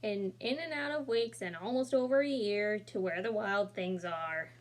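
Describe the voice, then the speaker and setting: A woman reads a picture book to children at a steady, mid-pitched level. She brings expression to the characters' lines and stops to chat gently with young listeners between pages. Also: normal speed. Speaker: storybook reader. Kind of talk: children's read-aloud with asides.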